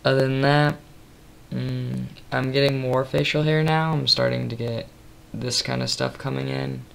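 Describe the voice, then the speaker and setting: A young man in his twenties giving a casual late-night webcam update, tired and slightly raspy, rambling with many ums. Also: steady tone, normal speed. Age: 20s. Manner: rambling, many ums, soft and low-key. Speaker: vlogger. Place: small room indoors. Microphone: built-in webcam mic at close range.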